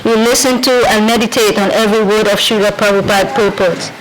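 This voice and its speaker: A woman's voice: medium pitch, level tone. Trinidadian woman, devotional tribute reading, earnest and prayerful, faint background noise. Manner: earnest, prayerful, with small halting restarts. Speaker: disciple reading a homage.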